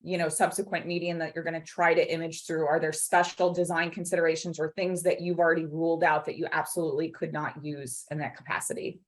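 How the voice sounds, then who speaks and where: A woman with a slightly low voice hosts an academic webinar. She reads out and clarifies audience questions as moderator on a video call. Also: little pitch movement, quick pace, hardly any pauses.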